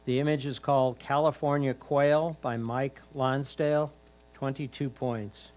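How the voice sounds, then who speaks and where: A man's voice: medium pitch, fairly even intonation, unhurried, darkish tone. Man formally announcing competition results. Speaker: competition announcer reading titles and scores.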